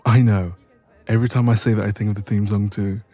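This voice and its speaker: Playful young male best friend, played by a man, romantic audio roleplay: mellow, slightly deep, breathy, intimate and chatty, faint music underneath. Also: fast talker, natural voice. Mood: warm, chatty. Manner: playful, teasing, expressive, soft laughs.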